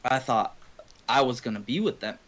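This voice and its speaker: A man's voice: mid-range voice, animated, moderate pace, fairly bright tone, low-quality recording. A man from Canada who streams games, telling personal stories in a casual, repetitive ramble full of swearing. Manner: profane, heavy swearing, repetitive. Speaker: game livestreamer. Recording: music playing behind the voice. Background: video game music and game dialogue.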